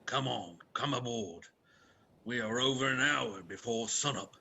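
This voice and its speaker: An actor in a radio play voicing a gruff, agitated harbour seaman with a dramatic way of talking. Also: medium pitch, measured pace, sharp tone, slight background noise, exaggerated voice. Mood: brusque.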